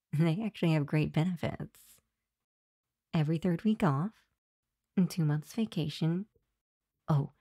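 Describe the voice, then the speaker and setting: A woman plays a sweet demon girlfriend winding down after work in an ASMR roleplay. Her voice is low and mellow, soft and confiding, full of tired affection. It is recorded close and dry. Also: no room sound. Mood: hopeful, coaxing. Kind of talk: ASMR roleplay, intimate monologue to listener. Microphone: close microphone, dry room.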